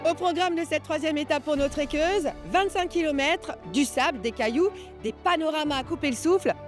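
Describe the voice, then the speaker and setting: High-voiced woman presenting over music. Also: normal speed.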